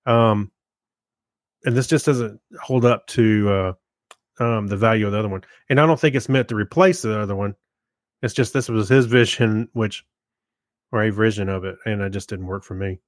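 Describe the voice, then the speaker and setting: A middle-aged man co-hosting a podcast, chatting casually in a low-key, hesitant, meandering way. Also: normal speed, no echo, studio quality. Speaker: podcast co-host. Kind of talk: casual chat, giving a review.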